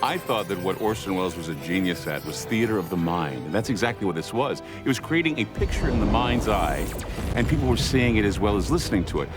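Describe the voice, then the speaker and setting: A man giving fluent commentary as a documentary interviewee. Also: fairly low pitch.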